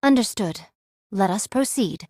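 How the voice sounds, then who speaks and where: A woman's voice: mid-range voice, expressive, measured pace, darkish tone, no echo, studio quality. A woman voicing a wry android swordswoman in a game, with formal, archaic, theatrical diction. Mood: resolute. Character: archaic-speaking android swordswoman, wry, fond of food and drink. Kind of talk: anime-style game voice acting.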